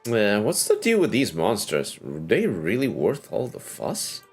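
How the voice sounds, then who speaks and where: A man's voice: fairly low pitch, wildly swooping pitch, measured pace. A man livestreaming a game, reacting casually with offhand, murmured interjections. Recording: game music behind the voice.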